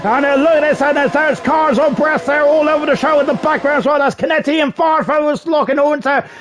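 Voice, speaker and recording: A man giving live sim racing commentary in a chatty, excitable way, often ending sentences with tag questions. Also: very high-pitched, fairly quick. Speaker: esports race commentator.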